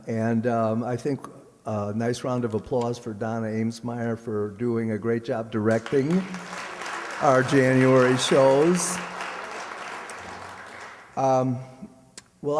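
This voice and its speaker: Male director at a stage mic, middle pitch, unhurried delivery, reverberant auditorium. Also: a little mellow. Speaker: director announcing upcoming shows. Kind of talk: live announcement to an audience. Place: large indoor township auditorium, on stage. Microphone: stage microphone. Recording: echoey auditorium with long reverb.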